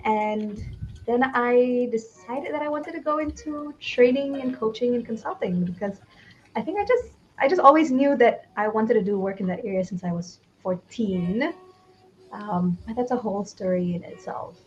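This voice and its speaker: A woman's voice: on the high side, expressive, normal speed, dull audio, a little hiss or hum. A young woman with a Malaysian English accent, a change consultant and coach, chatting in a casual livestream interview with frequent laughter. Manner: laughs often, chatty and upbeat.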